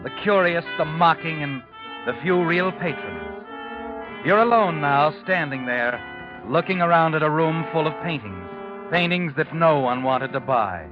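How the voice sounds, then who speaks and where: An actor playing an ominous, all-knowing narrator in a 1940s radio mystery, dramatic and taunting. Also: male voice, fairly high pitch. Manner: dramatic, taunting second-person delivery. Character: ominous all-knowing male narrator addressing the guilty man. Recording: muffled old radio broadcast with music.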